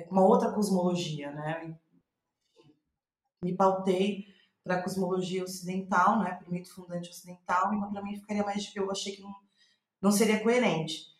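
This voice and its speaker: A Brazilian woman, a playwright in a reflective podcast interview, thinking aloud in a halting, thoughtful way. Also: medium pitch. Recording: roomy, echoing sound.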